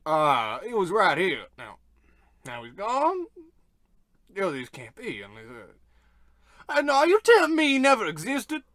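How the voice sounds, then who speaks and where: Male actor as dim loyal tough guy, melodramatic, very animated pitch, dry close sound, old radio play comedy. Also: measured pace, pauses often, dry recording, heavily acted. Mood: bewildered, alarmed. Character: tough, dim, loyal goon, increasingly bewildered.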